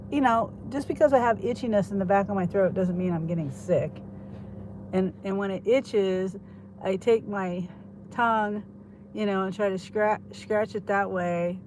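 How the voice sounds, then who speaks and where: An older woman talks to her viewers in a loose, rambling way, stopping and restarting her thoughts as she goes. She sounds a bit congested from a head cold, and her voice sits at an ordinary middle pitch. A faint hum of noise runs behind her. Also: darkish tone. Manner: rambling, thinks aloud, restarts sentences. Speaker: vlogger. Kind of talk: casual rambling chat to camera.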